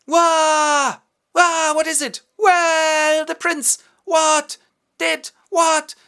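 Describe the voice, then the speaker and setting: A man voicing a panicky young bride in a comic play retelling, with high shrieking outbursts. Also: flat delivery, very slow, pushed and bright, exaggerated voice. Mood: panicked.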